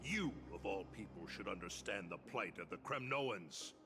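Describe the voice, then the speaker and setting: A man playing a proud, blunt old warrior in a video game, speaking in a gruff, commanding and disdainful manner. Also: low-pitched, animated, normal speed, pushed and bright, exaggerated voice. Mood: indignant, reproachful. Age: older. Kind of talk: dramatic video game voice acting. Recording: game music under the voice.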